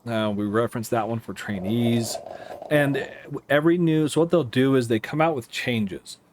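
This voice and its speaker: A man with an American accent giving casual, explanatory commentary over a simulator game, thinking aloud in a halting, rambling way. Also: mid-range voice, noisy background. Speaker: air traffic controller streaming a simulator game.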